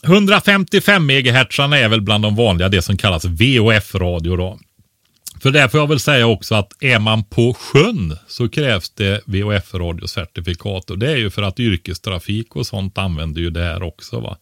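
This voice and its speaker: A man with a fairly deep voice explains things on a podcast in a casual, teacherly way. He often checks in with a short questioning tag. The sound is very dry, with little room in it. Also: animated, a bit bright, no echo. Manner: didactic, often tags statements with a questioning "va?".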